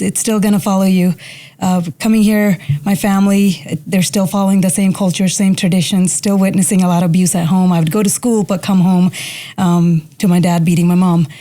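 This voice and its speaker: A woman's voice: mid-range voice, warm tone. A young adult woman from India with an Indian accent, a police officer guest telling her life story earnestly in an interview. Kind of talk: personal interview.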